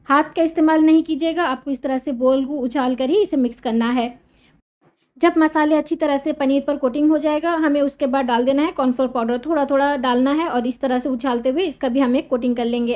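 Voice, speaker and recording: High-voiced woman explaining a recipe. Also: mellow. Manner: patient, instructive, repeats steps. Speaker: cooking channel host. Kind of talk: step-by-step recipe voice-over.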